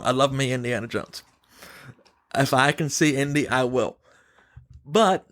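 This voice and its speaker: A man's voice: fairly high pitch, no echo, studio quality. A man hosting a podcast, giving his opinions in casual, emphatic chat and putting questions to his co-host.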